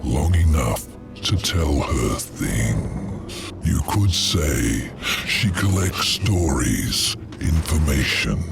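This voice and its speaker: A man voicing a sly, menacing ancient demon lord in a video game, slow, smug and taunting. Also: a bass voice, expressive, vocal fry, full character voice. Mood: sinister amusement. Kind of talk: video game cutscene acting. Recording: music under the voice.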